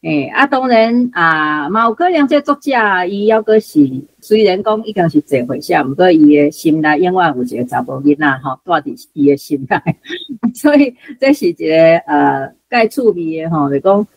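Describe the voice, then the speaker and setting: Low husky Taiwanese woman, talking literature. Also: expressive, normal speed, dry recording, low-quality recording. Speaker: radio programme guest or presenter discussing literature. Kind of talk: unscripted literary commentary.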